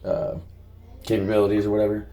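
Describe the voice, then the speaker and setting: A man's voice: lowish voice, monotone, fast, dark tone. A man with a Midwestern American accent, co-hosting a casual reaction chat and rambling with fillers and restarts. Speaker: reaction channel co-host.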